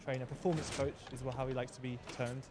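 A man hosts a relaxed podcast chat, joking and laughing easily. Clear noise from the busy surroundings runs behind his voice. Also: mid-range voice, fast talker. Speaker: podcast co-host. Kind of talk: casual chat. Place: indoor space near a pop-up store.

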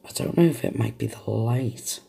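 A woman's voice: a bass voice, moderate pace, creaky, noisy background. A woman with a cold chatting casually and apologetically as she shows off craft supplies at her home desk, recorded on a camera set on the desk. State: has a cold. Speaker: craft vlogger. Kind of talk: casual haul show-and-tell.

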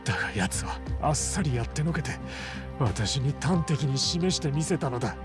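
An actor playing a proud, wounded Chinese mafia boss in a game cutscene, a middle-aged man speaking in a formal, solemn, measured way. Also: mid-range voice, lively intonation, over music, lightly acted. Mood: bitter, resolute. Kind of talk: Japanese video game cutscene acting.

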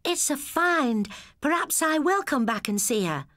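A woman's voice: sits high, unhurried, no echo, clean studio sound, pitched above the performer's own voice. A woman voicing a tender-hearted, wistful young English girl in a storybook tale. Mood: fond, sad. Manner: wistful, expressive.

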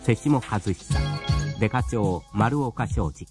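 A man giving measured, formal scripted narration for a radio drama. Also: on the low side, lively intonation. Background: music.

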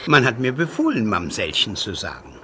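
Swooping, droll manservant. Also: male voice, lowish voice, huge pitch swings, fairly quick, pushed and bright, a little hiss or hum, full character voice. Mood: polite, amused. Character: polite, amused adult male household servant.

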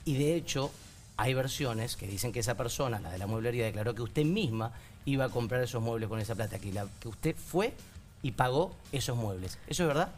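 A man's voice: mid-range voice, animated, a little fast, soft background music. A man in his thirties with an Argentine accent, interviewing a guest in a TV studio, asking pointed, probing questions and often backing her up with short "ujú" sounds. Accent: Argentine Spanish.

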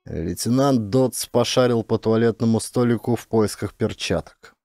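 A man's voice: lowish voice, moderate pace, warm tone, dry recording, clean studio sound, faint music behind. A man reading fiction as an audiobook narrator, in a dramatic scripted style.

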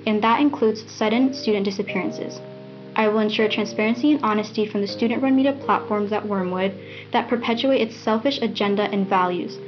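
An earnest, defiant teenage girl delivering a campaign speech, acted by a young woman. She has a mid-range voice on lo-fi videotape sound, with music clearly behind her. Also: medium pitch, natural voice. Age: young adult. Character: defiant, earnest teenage female student candidate. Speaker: election candidate. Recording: dull lo-fi 1990s VHS sound.

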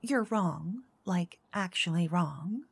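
Slow, breathy, teasing whisper from a woman. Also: lowish voice, lively intonation, no room sound. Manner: teasing, flirty, switching between whispers and soft speech. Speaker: ASMR roleplay performer as a dungeon master. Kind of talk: soft-spoken ASMR roleplay, whispering into each ear. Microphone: close binaural mic.

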